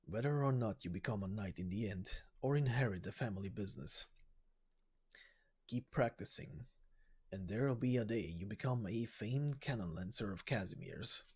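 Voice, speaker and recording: A man voicing a gentle, proud middle-aged father in a game story reading, speaking softly, slowly and encouragingly. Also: on the low side, husky, pitched below the performer's own voice. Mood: proud, earnest.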